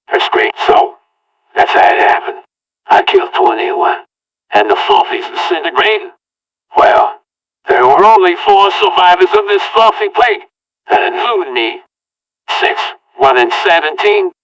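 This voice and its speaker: A man's voice: high-pitched, wildly swooping pitch, a little slow, fairly bright tone. A man telling a homemade audio-log story in the first person, with an earnest, rambling delivery. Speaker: narrator. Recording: voice far from the mic.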